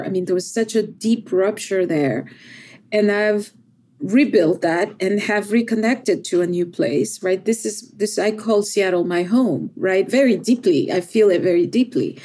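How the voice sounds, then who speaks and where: Mellow-voiced woman, a poet from El Salvador. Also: mid-range voice. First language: Spanish. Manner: thoughtful, repeats words, often adds "right?". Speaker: poet, podcast guest. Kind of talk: reflective interview conversation.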